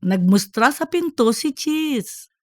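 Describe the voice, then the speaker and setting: A woman's voice: sits high, lively intonation, slow pace, no room sound, studio quality. A woman reading scripted narration for a comedic audiobook.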